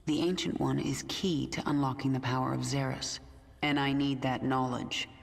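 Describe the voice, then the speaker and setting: A woman's voice: extremely low pitch, steady tone, moderate pace, gravelly, husky, full character voice. An actress playing a hard, vengeful woman commander of an alien swarm in a video game, terse and commanding. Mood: cool, resolute. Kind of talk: video game character acting.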